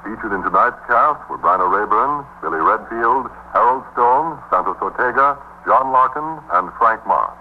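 A man announcing in a formal, measured, declarative 1950s network radio style. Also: medium pitch, expressive. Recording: tinny 1950s radio recording, faint hum.

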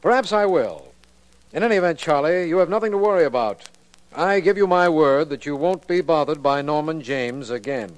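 An actor playing a firm, reassuring district attorney, measured and authoritative, in 1950s radio drama style. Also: male voice, fairly high pitch, expressive, lightly acted, rough recording.

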